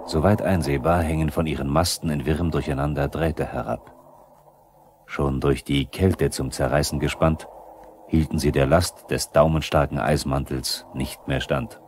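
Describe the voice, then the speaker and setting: A man's voice: extremely low pitch, steady tone, moderate pace, darkish tone. A man acting as a sober, probing narrator in a radio play, on a noisy 1970s recording. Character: sober probing male narrator who challenges the workers. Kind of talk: scripted radio play narration, questioning the characters.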